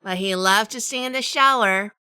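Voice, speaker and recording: A woman's voice: medium pitch, normal speed, sharp tone, smooth, dry recording, lightly acted. A woman playing a wry, world-weary detective in a noir audio drama, with a dry, sardonic delivery. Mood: wry, fond. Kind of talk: hardboiled noir radio drama narration and dialogue.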